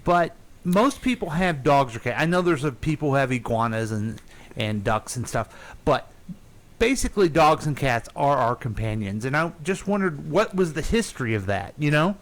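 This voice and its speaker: Animated, playful man hosting a show. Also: on the high side, normal speed. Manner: playful, teasing. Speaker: radio show host. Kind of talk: casual banter and interviewing.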